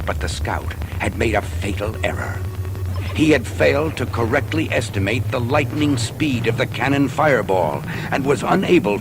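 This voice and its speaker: Loud music plays under a man telling a dramatic space adventure on a 1980s story record. His pitch sweeps widely, and his delivery is theatrical and suspenseful, with deliberate, weighty phrasing. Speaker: narrator.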